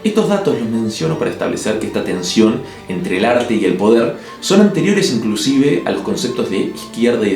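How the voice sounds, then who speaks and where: Un hombre de Uruguay con acento del Río de la Plata, que narra un ensayo en video con opiniones firmes y toques de humor seco. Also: male voice, mid-range voice. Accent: Río de la Plata Spanish. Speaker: video essay host. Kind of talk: scripted narration. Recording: echoey room with soft music.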